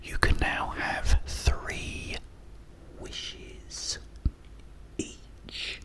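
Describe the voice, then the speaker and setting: A Cockney man whispering as a grand genie. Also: drawn-out words. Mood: grandly. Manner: whispered, drawn out. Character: grand male genie granting wishes.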